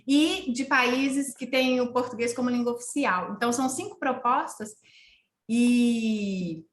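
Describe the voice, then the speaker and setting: A woman hosting an online talk over a video call, speaking in a halting way with many fillers and restarts. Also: fairly high pitch. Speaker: host of an online lecture series. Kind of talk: casual video-call chat. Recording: roomy video call audio.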